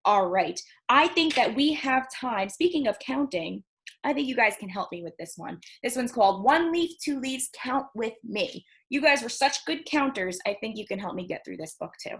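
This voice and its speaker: A woman's voice: on the high side, expressive, normal speed, fairly bright tone. A woman hosting a children's storytime, reading aloud and counting in a singsong, encouraging way for young listeners. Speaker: children's library storytime host.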